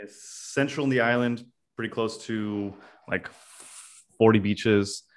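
Young california guy rambling through his story, low-ish voice, lots of uhs. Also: fairly even intonation, takes it slowly. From California, USA. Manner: loose, thinks aloud with many "uh"s. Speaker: podcast guest. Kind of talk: casual interview storytelling.

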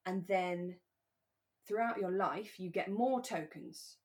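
A woman co-hosting a relaxed conversational podcast, talking in a candid, reflective and careful way. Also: on the low side, takes it slowly. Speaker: podcast co-host.

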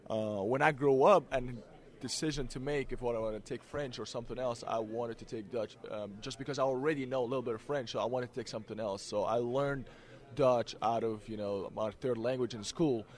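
A man's voice: medium pitch, fairly quick. Young egyptian guy, arabic native, easygoing interview guest, laughs a lot, medium voice. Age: young adult. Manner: soft-spoken, laughs often, relaxed. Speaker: college basketball player, guest.

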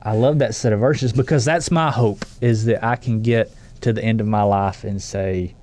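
A man's voice: lowish voice, steady tone, moderate pace, a little mellow. A man co-hosting a faith podcast, talking in an earnest, emphatic way and often restarting phrases. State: stuffy with allergies. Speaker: podcast co-host.

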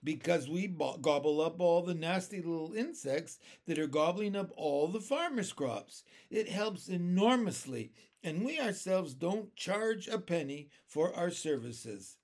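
Storybook ladybug, female, played by a man: high-pitched, slow, genteel, chatty, quietly snobbish. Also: expressive. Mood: modest pride. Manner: modest yet snobbish, genteel.

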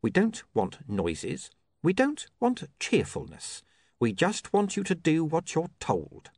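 A man's voice: a little high, huge pitch swings, slow, darkish tone, no echo, pitched above the performer's own voice, full character voice. Man playing a curt, cold criminal, dry sound. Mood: cold, impatient. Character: cold, curt, menacing male criminal boss.

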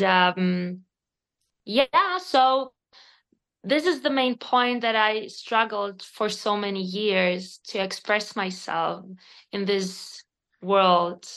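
A woman from Spain with a Spanish accent, a native Spanish speaker in her thirties, talking as a podcast guest in a direct, expressive way with hesitant fillers. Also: mid-range voice. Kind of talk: casual interview conversation.

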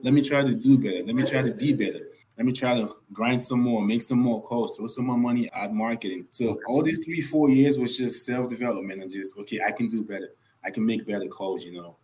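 A man's voice: mid-range voice, fairly quick, a little mellow, slight background noise, low-quality recording. A young man from Nigeria, a guest on a podcast, telling his story in an earnest way and repeating points for emphasis. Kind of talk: casual podcast interview.

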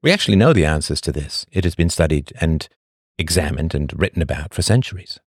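A man hosting a podcast, reading a scripted monologue full of rhetorical questions and emphatic pauses. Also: a bass voice, expressive, husky, no echo, studio quality. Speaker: podcast host.

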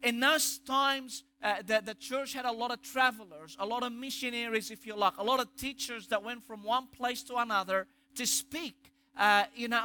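A man's voice: very high-pitched, sharp tone. A man with an Egyptian accent preaching a sermon, emphatic, asking rhetorical questions and restarting phrases. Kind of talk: sermon on scripture.

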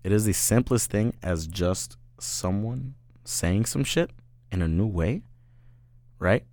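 A man's voice: on the low side, expressive, takes it slowly, darkish tone, no echo. Young dude in his 20s, casual podcast chat, swears a lot, voice jumps around, dry close sound. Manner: loose slangy talk, says "bro" a lot, swears freely. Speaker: podcast host.

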